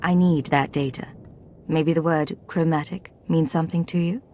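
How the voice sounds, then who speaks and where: Game heroine: actress as a businesslike secret agent, lowish voice, controlled and clipped, faint ambience. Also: female voice, steady tone, normal speed, dark tone, a little hiss or hum, close to an everyday voice. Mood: cool, businesslike. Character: cool, businesslike female Imperial spy agent. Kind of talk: video game voice acting.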